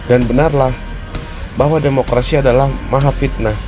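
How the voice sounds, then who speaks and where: A man's voice: medium pitch, steady tone, moderate pace, over music. A young adult man reading a scripted spoken-word essay in a rhetorical, declamatory manner. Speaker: university student.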